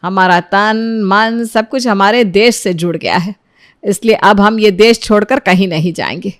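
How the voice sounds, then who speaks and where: A woman's voice: medium pitch, measured pace, no echo, studio quality, natural voice. Woman playing a stern, insistent older mother-in-law. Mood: firm, stern. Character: firm older mother-in-law, protective of her family.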